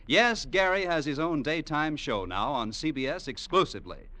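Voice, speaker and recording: A man's voice: a little high, lively intonation, normal speed, bright, forceful tone. A man with an American accent announcing in 1940s radio style, with a polished, punchy salesman's delivery.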